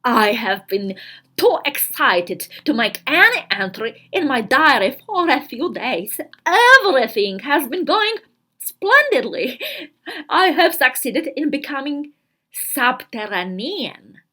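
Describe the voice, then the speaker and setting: A woman voicing a self-important, earnest fourteen-year-old girl diarist, reading dramatically with deliberate pauses and emphasis. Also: sits high, big pitch swings, sharp tone, heavily acted. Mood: haughty, pleased. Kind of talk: dramatic literary reading of a diary.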